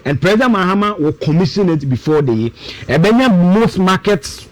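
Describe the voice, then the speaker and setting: Animated, high-pitched Ghanaian man, emphatic. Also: a little fast, no room sound, low-quality recording. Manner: emphatic, repeats points, switches between English and Twi. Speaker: guest analyst. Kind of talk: animated explanatory radio discussion.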